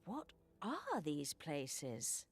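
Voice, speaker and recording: A woman playing a sharp-witted, skeptical adventurer in a fantasy video game, dry and observant. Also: deep voice, wildly swooping pitch, very slow, slightly rough, soft background music, exaggerated voice.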